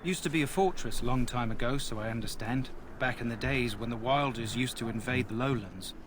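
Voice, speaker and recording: An actor playing a chatty, gossipy camp soldier. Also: male voice, medium pitch, fairly quick, background noise, natural voice. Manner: chatty, folksy. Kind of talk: video game voice acting.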